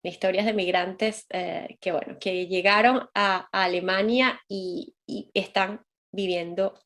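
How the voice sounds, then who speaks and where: A woman's voice: medium pitch, steady tone, unhurried, a bit bright. Woman hosting a reflective conversation. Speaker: interview host.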